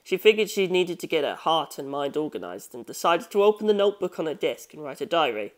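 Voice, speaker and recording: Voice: mid-range voice, big pitch swings, fast talker. A narrator reading a novel aloud as scripted audiobook narration.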